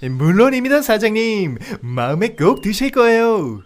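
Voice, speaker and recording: A man's voice: high voice, big pitch swings, moderate pace, pitched above the performer's own voice. A man voicing a pleading, wheedling talking deer in a comedic audio fairy tale, obsequiously polite like a salesman. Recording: outdoor nature ambience, birdsong behind.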